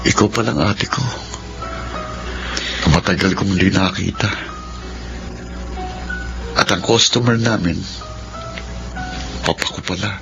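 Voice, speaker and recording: An actor playing an earnest, sincere young man in a Filipino radio drama, speaking in an expressive way. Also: low voice, takes it slowly, vocal fry, pauses often, heavily acted. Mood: grieving. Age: young adult. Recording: muffled radio broadcast, music behind.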